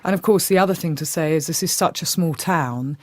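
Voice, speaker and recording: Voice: medium pitch, a little fast, no echo, clean studio sound. A podcast co-host talking through a case in a thoughtful, hedging way, with many fillers. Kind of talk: casual analytical discussion.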